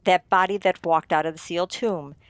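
A woman playing a shrewd writer-sleuth who explains things theatrically and deliberately in an old-time radio mystery. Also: fairly low pitch, steady tone, smooth, no echo, close to an everyday voice. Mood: smugly accusing.

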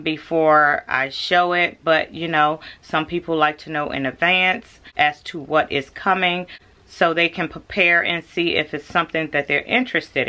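A woman's voice: deep voice, sharp tone. A woman, chatting casually to camera as a vlogger and calling her viewers "y'all". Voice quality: raspy.

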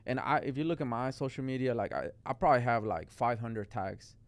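A man's voice: mid-range voice, fairly quick. A young man from Mexico, guest on a podcast, talking in a rambling casual chat full of "like" into a studio mic. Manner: rambling, lots of "like" and "bro". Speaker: guest artist. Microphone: studio mic on boom arm.

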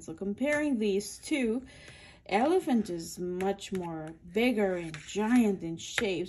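Mellow-voiced woman reading to kids, slightly noisy. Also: fairly high pitch. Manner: simple explanatory talk, checking with "okay?" and "right?". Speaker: children's read-aloud video host. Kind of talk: casual picture-book read-aloud with explanations for kids.

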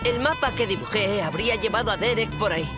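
A woman's voice: medium pitch, fast, loud music behind the voice, natural voice. A woman playing a cheeky, boastful young schoolboy in a dubbed children's cartoon. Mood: earnest. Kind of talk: animated children's series dubbing.

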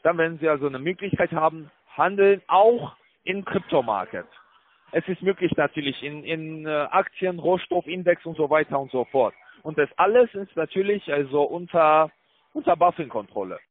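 A man working as a call-centre broker, making a halting, repetitive, placating sales pitch over the phone. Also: animated. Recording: tinny phone line with loud-enough background noise.

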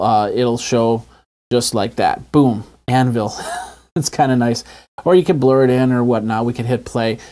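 A man's voice: medium pitch, darkish tone. A man hosting an app tutorial in a casual, conversational, step-by-step way. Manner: conversational, informal, explains step by step. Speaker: host of an app review web show. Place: small indoor home studio. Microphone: lapel mic.

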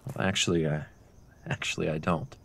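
A man playing an overly polite, creepy neighbor who speaks with halting hesitation in an audio drama. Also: rumbling low, flat delivery, vocal fry, fairly bright tone, heavily acted.

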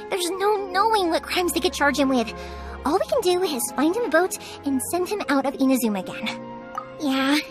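Chatty, high-pitched young fireworks maker. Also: female voice. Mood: brisk, secretive. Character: cheerful, energetic young woman fireworks maker, warm-hearted and protective. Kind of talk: video game dialogue. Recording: music under the voice.

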